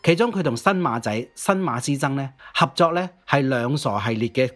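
A man co-hosting a conversational programme about music history, with a husky voice. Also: medium pitch, animated, a little fast, fairly bright tone. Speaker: podcast co-host.

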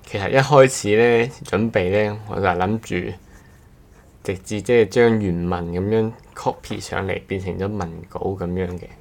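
A man's voice: lowish voice, normal speed, creaky. A man with a Cantonese accent chatting casually as a podcast guest, joking and poking fun at himself. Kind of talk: casual chat.

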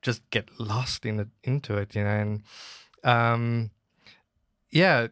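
A man's voice: mid-range voice, fairly even intonation, takes it slowly, fairly bright tone, clear, resonant tone, no echo. Hesitant man, dry sound. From South Africa. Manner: thoughtful, hesitant, frequent "um" and "you know". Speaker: guest, music teacher. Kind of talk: casual podcast conversation.

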